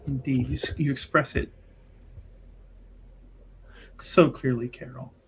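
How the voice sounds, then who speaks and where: A performer voicing an assured, pious Sister of a fantasy church, with formal and deliberate phrasing, in a tabletop game. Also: medium pitch, fairly even intonation, very noisy, close to an everyday voice. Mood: solemn, pious.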